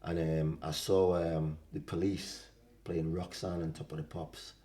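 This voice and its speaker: Older guy in his 60s, low soft-edged voice, telling stories casually. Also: little pitch movement, measured pace. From West Indies. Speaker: podcast guest, singer-songwriter. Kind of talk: casual interview chat, anecdotal.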